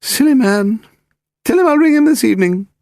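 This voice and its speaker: A man's voice: very high voice, animated, normal speed, darkish tone, dry recording, studio quality, pitched above the performer's own voice. A man voicing a haughty, pedantic English aristocrat, a frail lady in her eighties, in an upper-class accent for an audiobook story. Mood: imperious, curt. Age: 80s. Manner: pedantic, grand, derisive, demanding. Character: imperious frail aristocratic old lady of eighty-five, malicious.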